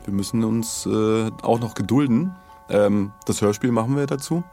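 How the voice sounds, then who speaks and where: A man co-hosting a podcast in casual chat, joking in a deadpan way. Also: lowish voice, normal speed, faint music behind. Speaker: podcast co-host.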